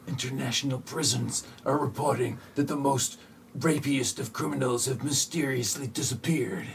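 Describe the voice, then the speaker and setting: Grave newsreader played by a man, mid-pitched, mock news bulletin over faint background noise. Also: moderate pace, creaky, close to an everyday voice. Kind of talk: mock news report in amateur audio play.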